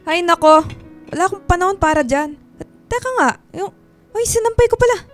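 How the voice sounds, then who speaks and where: A woman's voice: very high voice, expressive, a little fast, faint music behind. A woman playing an irritable, dismissive middle-aged mother in a Filipino radio drama, brusque and defensive. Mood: dismissive, irritated.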